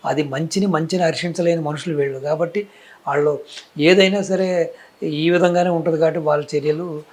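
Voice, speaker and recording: A man with a distinctly high voice and a Telugu accent speaks as a political commentator. He argues his opinions emphatically, throwing out rhetorical questions and stacking points in repeated phrases. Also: fairly even intonation, a little fast, a little mellow.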